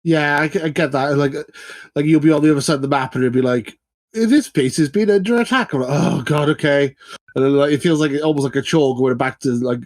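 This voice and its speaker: A man's voice: fairly high pitch, brisk. A man with a stuffy, nasal voice from a head cold chats on a podcast. He talks at a loose pace, stopping and restarting often, and he sounds dry and close with no room echo. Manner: hesitant, full of uhs and restarts. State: blocked nose from a cold. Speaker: podcast co-host. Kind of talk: casual chat. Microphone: podcast microphone.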